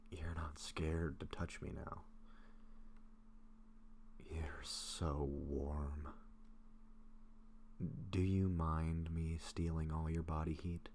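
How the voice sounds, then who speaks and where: A man performs a gentle, self-doubting male vampire confiding in his best friend in an audio drama. His voice is extremely deep and he talks slowly and haltingly, with soft affection. A faint noise sits behind the recording. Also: exaggerated voice. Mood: tender. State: sick, occasional coughing. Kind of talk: intimate roleplay audio drama. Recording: faint steady background noise.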